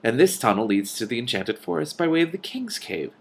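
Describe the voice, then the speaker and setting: A man's voice: mid-range voice, big pitch swings, a little fast, vocal fry, husky, exaggerated voice. A man voicing a matter-of-fact female dragon from a storybook, explaining things with dry, scornful authority.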